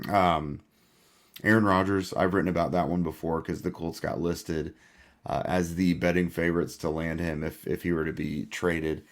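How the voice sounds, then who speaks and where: Hoarse low-voiced man, gravelly podcast host. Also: level tone, normal speed. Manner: frequent "uh" fillers, laid-back. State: hoarse, losing his voice. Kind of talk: casual sports podcast talk.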